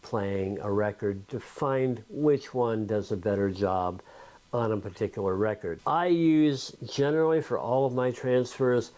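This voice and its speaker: A man's voice: on the low side, unhurried, darkish tone. A man hosting a video, talking informally to viewers in an explanatory, conversational tutorial style. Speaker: video host.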